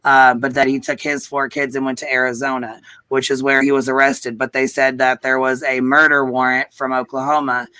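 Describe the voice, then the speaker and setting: A man's voice: medium pitch, little pitch movement, moderate pace, few pauses, pushed and bright, smooth, no room sound. A man hosting a true crime livestream, casually recapping news in an informal, questioning way.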